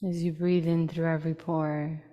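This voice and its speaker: A woman with a low-pitched voice leads a guided meditation, soft-edged and mellow in tone. She speaks in short, unhurried phrases and leaves long pauses between them. A long reverb trails behind her words. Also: little pitch movement, highs cut off. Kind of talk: slow, soothing guided meditation.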